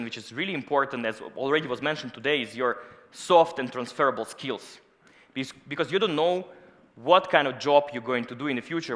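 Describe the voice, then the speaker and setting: Bright-voiced Russian-born man speaking in a hall. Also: mid-range voice. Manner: lively, personal storytelling with stage gestures. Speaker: conference speaker. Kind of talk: conference talk. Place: large auditorium stage. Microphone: headset mic. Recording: long hall reverb.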